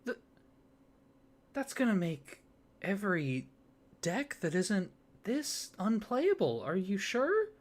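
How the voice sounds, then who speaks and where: A man doing a soft-spoken ASMR roleplay, hesitant and flustered, with many fillers, restarts and awkward apologies. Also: high-pitched, wildly swooping pitch, takes it slowly, long pauses.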